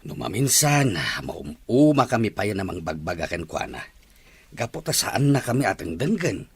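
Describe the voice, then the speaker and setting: A low, slightly husky older uncle and worried father, acted by a man in an Ilocano radio drama. His delivery swings widely in pitch, with faint music underneath. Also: big pitch swings, normal speed, no room sound, exaggerated voice.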